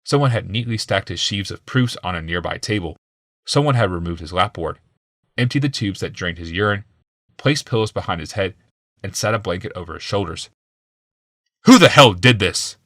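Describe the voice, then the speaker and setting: A man's voice: sits low, lively intonation, brisk, fairly bright tone, no echo, clean studio sound. A man reading a fantasy audiobook as a dramatic scripted narration.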